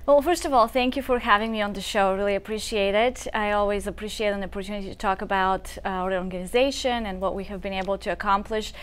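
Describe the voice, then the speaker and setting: A woman's voice: medium pitch, fairly even intonation, fast talker, few pauses, smooth. A woman in her thirties from Bulgaria with a Bulgarian accent, telling her story earnestly as a talk show guest. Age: 30s. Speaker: guest, nonprofit founder.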